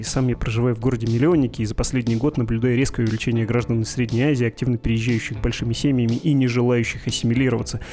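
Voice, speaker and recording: A podcast host from the Perm region answering listener letters in a dry, ironic, argumentative way. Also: male voice, mid-range voice, a little mellow. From Perm region, Russia. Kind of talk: reading and answering listener mail.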